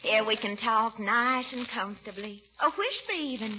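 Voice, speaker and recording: A woman's voice: a little high, moderate pace, close to an everyday voice. An actress playing a coy, vain, flirtatious Southern lady in 1940s radio comedy style, with a teasing, affected manner. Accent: Southern American. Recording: muffled, noisy old radio recording.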